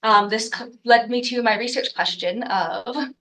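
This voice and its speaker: A woman's voice: on the high side, a little slow, a bit bright, roomy sound, recorded from a distance. A young adult woman, congested from a cold, giving an earnest student research presentation with frequent ums and uhs. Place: lecture room.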